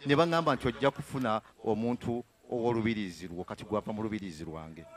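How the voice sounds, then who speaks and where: A man's voice: fairly low pitch, quick pace, lightly acted. An actor on a theatre stage playing a husband who coaxes and soothes his angry wife with rambling explanations, into a handheld mic. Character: placating husband caught between wife and friend. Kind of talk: live stage comedy acting. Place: theatre stage with house set.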